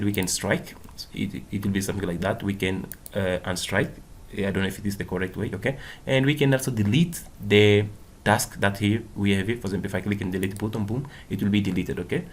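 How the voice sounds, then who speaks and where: A man whose first language is Portuguese, walking through a coding tutorial in a casual way, often saying "okay" and "boom". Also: a little hiss or hum. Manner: non-native English with small slips. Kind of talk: screen-recorded coding walkthrough.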